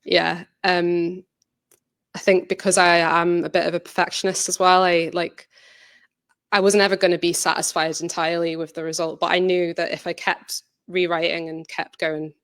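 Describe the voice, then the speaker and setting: A woman's voice: on the low side, level tone, moderate pace, fairly bright tone, dry recording, studio quality. A woman speaking as a writer at an informal question session, giving hesitant, reflective answers full of qualifications. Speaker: audio drama writer and presenter. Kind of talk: informal presentation Q&A, conversational answers.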